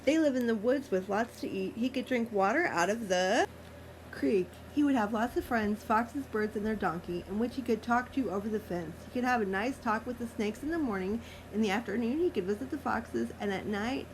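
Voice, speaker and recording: Female author-reader, higher register, informal and amused, faint background noise. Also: normal speed, rough recording. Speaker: author reading own childhood story. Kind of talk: informal read-aloud with amused commentary.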